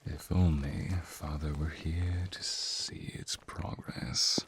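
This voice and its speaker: A man playing a suave, courtly naga prince in an ASMR fantasy roleplay, speaking softly and breathily straight to the listener. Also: very deep voice, fairly even intonation, background noise. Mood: wistful, grieving. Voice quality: soft, breathy, husky. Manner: teasing, courtly, drawn-out phrasing. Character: flirty serpentine naga prince, suave, protective, wistful beneath.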